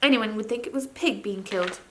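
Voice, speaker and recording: A woman's voice: mid-range voice, quick pace, fairly bright tone, slight background noise, lightly acted. A woman doing a blunt, grumbling marsh creature. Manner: blunt, plain-spoken, grumbling. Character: gloomy, blunt male Marshwiggle, long-armed and gruff.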